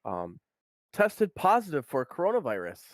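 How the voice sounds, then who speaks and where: A man's voice: a little high, wildly swooping pitch, normal speed, no echo, studio quality. A man from Minnesota co-hosting a podcast, talking casually with many hesitations. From Minnesota, USA. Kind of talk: casual chat.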